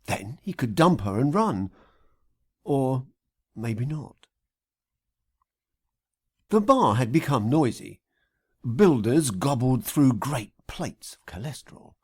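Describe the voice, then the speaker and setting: A man reading a satirical audiobook story in a theatrical, deliberate manner. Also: mid-range voice, big pitch swings, breathy edge. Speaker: author reading an audiobook extract. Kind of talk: scripted satirical audiobook narration.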